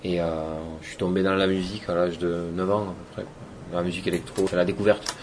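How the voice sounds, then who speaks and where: A man's voice: low voice, level tone, fast talker, background noise. A man from Toulouse, a DJ and producer, giving loose, rambling interview answers that trail off with fillers. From Toulouse, France. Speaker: electronic music DJ and producer.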